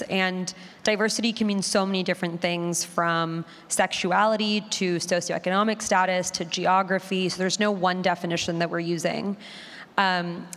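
A woman with a fairly low voice explains her work as a podcast producer on a panel. Her words carry the long reverb of a large hall.

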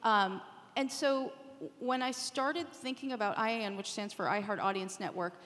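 A woman's voice: medium pitch, normal speed, fairly bright tone. A woman panelist explaining things as a media executive, posing rhetorical questions and checking in with her listeners. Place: conference session room. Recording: echoey hall, long reverb.

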